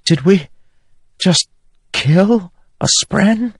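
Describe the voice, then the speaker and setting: Man playing a tiny gentle spirit girl, soft breathy voice, raised pitch, dry. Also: lively intonation, extremely slow, dry recording, heavily acted. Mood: shaken. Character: small female spirit, gentle and frightened. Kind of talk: audiobook character voice.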